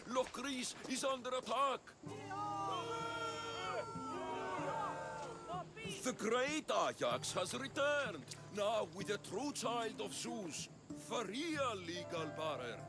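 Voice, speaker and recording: A deluded, self-important village hero for a video game. The voice is high and creaky, swinging widely with theatrical pomp, with music prominent behind it. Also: male voice, big pitch swings, slow, exaggerated voice. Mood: grandiose. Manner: pompous, theatrical boasting. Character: deluded, vain villager who believes he is a reborn immortal hero. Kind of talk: video game cutscene acting.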